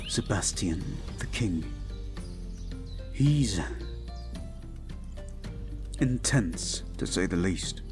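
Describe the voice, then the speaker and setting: A man playing a devoted, protective young prince in an intimate audio roleplay, speaking gently and somewhat formally to his betrothed. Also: deep voice, full character voice. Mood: hesitant, informative. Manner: gentle, soothing, measured, slightly formal phrasing.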